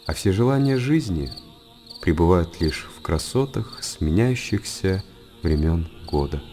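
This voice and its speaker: A man's voice: deep voice, lively intonation, measured pace, dark tone, slight background noise. A man playing a contemplative hermit monk who lives in seclusion, delivering a literary radio drama monologue. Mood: serene, detached. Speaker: narrator in a radio play.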